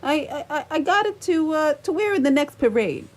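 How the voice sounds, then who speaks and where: A woman's voice: high-pitched, lively intonation, moderate pace. A woman playing a nervous, evasive man in his mid-thirties who stammers and rambles in a radio theatre comedy.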